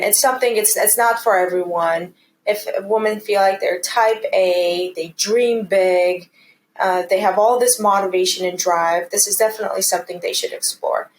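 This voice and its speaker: A woman from Armenia talks as an interview guest in a conversational, earnest way. She sounds encouraging, as if urging people on, and her voice sits at a medium pitch. There is some light noise in the recording. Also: low-quality recording. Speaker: interview guest, commercial real estate team lead.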